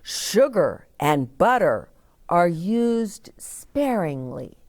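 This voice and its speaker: A woman reading a children's story aloud as a storyteller, in a deliberate, dramatic way with asides to young listeners. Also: lowish voice, big pitch swings, a little mellow, no room sound, studio quality. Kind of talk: expressive children's read-aloud.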